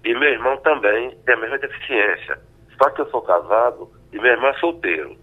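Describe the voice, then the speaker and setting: A man calling in to a radio show by phone, explaining his family situation in a hesitant, halting way. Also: mid-range voice, lively intonation. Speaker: radio caller. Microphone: phone held away from mouth. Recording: telephone line, faint from the mic.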